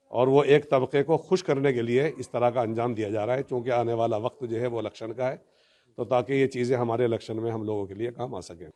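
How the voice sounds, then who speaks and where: A man speaking unscripted in an interview, explaining his view in a halting, emphatic, wordy way. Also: mid-range voice, a little mellow.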